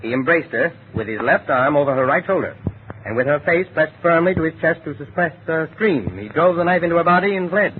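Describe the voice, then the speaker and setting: An actor playing a calm, shrewd, educated doctor turned amateur sleuth in a 1940s radio detective drama, speaking in a measured, deliberate way. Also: male voice, on the high side, close to an everyday voice. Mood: reasoning. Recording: noisy, tinny vintage radio recording.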